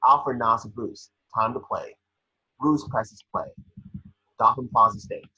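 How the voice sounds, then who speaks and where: A very husky man narrates screenplay action with a vivid storytelling delivery. His tone is sharp and forceful. The recording sounds a bit dull and lo-fi. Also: mid-range voice, measured pace. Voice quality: husky, raspy. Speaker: scene description reader. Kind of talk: narrating a screenplay table read. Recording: dull lo-fi call audio.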